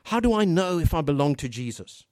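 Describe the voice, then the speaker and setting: A man from Africa preaching a sermon earnestly, posing rhetorical questions and swinging between hushed and emphatic delivery. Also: a little high, sing-song, a little fast, no room sound, studio quality.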